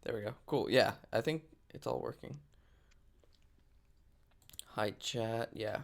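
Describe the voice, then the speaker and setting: A man's voice: mid-range voice, slow pace, gravelly. A man chatting casually as the host of a group live stream.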